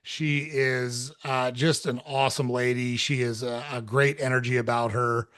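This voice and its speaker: Male podcast host, mid-pitched voice, dry close sound, loose and chatty with plenty of fillers. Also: flat delivery, measured pace, a bit bright, dry recording. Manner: friendly, rambling, lots of fillers. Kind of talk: casual podcast interview.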